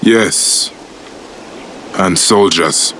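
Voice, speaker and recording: A man's voice: deep voice, drawn-out words. A man playing a determined, protective avenger from ancient Egypt in a dramatic video game. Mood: gentle, playful. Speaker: game protagonist.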